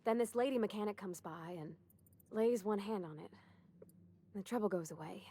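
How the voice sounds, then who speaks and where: A woman's voice: mid-range voice, normal speed, warm tone, breathy edge. A woman playing an earnest, shy young ship's engineer in a video game, with folksy, hesitant phrasing. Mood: tender, hesitant. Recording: game audio under music.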